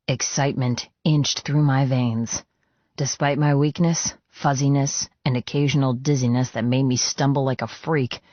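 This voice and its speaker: A man's voice: medium pitch, darkish tone, no room sound. Dry, intense male audiobook narrator. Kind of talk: scripted first-person novel narration. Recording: dry, slightly dull sound.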